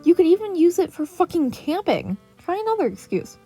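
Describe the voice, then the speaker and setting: A woman's voice: very high-pitched, sing-song, a little fast, warm tone, voice pushed up, full character voice. A woman voicing a blunt, exasperated but caring teenage boy counselor in an emphatic, lecturing, sweary manner for a story. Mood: exasperated, forceful. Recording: music playing under the voice.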